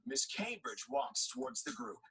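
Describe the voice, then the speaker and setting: A man's voice: very high voice, steady tone, normal speed, vocal fry, pushed and bright, husky. A man reading scripted narration for an audio drama.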